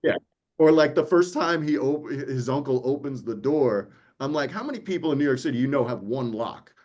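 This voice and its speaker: A man talking casually as a producer on a panel, animated and acting out his own remarks as he tells stories. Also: mid-range voice, moderate pace. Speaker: audiobook producer and interview guest.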